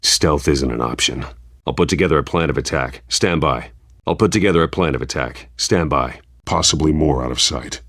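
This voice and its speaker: A man voicing a grim, driven vigilante in a video game, terse and commanding, with a gravelly, husky voice. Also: rumbling low, normal speed, a bit bright, no room sound, studio quality. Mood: tactical, controlled. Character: grim, driven masked male vigilante and wealthy crusader.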